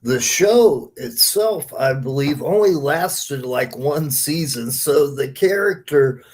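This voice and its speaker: A man's voice: mid-range voice, lively intonation, takes it slowly. A man co-hosting a casual podcast, explaining bits of history in conversation. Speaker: podcast co-host.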